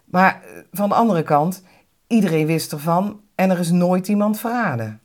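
A woman shares the narration of a scripted documentary podcast. She speaks in a low register with a soft, mellow tone. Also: expressive, moderate pace.

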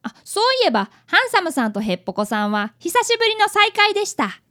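A woman's voice: extremely high pitch, very animated, fast talker, sharp tone, heavily acted. A woman playing an earnest, polite fifth-grade boy apprentice detective who narrates a radio drama. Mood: narrating, wry.

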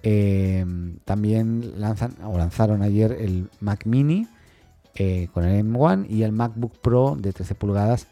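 A man hosting a tech news podcast alone, talking informally and haltingly as he thinks aloud, often adding little questioning tags. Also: on the low side, dark tone, soft background music.

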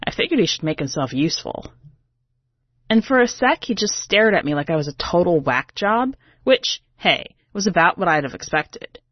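A woman's voice: on the low side, very animated, normal speed, slightly rough, no echo, lo-fi sound. A woman reading a first-person story as a brash, sardonic singer, in a slangy, emphatic, conversational way. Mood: wry. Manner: sassy, slangy, irreverent, emphatic. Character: brash, sardonic urban woman singer, self-declared diva, telling her tale.

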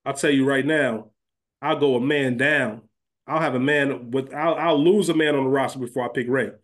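A man's voice: medium pitch, moderate pace, a bit bright. A man chatting casually and emphatically as a podcast co-host, conversational in his delivery. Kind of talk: casual chat and recap.

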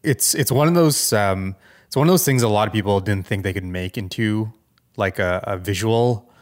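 A man with a somewhat low-pitched voice. He gives casual, conversational commentary as a podcast co-host, thinking aloud tentatively and tagging points with a questioning "right?". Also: normal speed.

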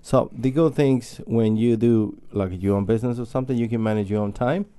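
A man from Chile, a guest speaking halting English with many fillers in a casual interview. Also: medium pitch, mellow, clean tone. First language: Spanish.

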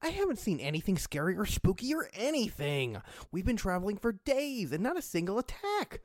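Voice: medium pitch, big pitch swings, brisk, no room sound, studio quality, lightly acted. An actor voicing a cheerfully dim, blunt, lazy adventurer in a casual, slangy way for a comedic fantasy audio drama. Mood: carefree.

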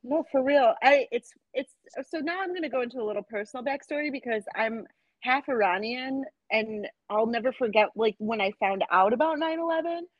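A woman's voice: on the high side, fairly quick, no room sound, muffled recording. A woman, hosting a podcast in a frank, chatty way, full of "like". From United States. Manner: chatty, lots of "like", animated and frank. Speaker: podcast host. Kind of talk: casual chat.

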